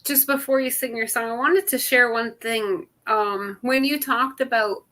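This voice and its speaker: A middle-aged woman with a very high-pitched, distinctive, kid-like voice, sharing casually and heartfully with a group. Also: a little slow, pushed and bright. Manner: laughs readily while talking. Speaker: singer in a drumming circle.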